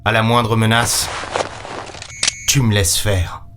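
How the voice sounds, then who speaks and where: An actor playing a weary, colloquial space captain who narrates and talks in a science-fiction audio drama. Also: male voice, fairly low pitch, level tone, slow, very noisy. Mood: grave. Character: world-weary male space captain, last human in the galaxy. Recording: music under the voice.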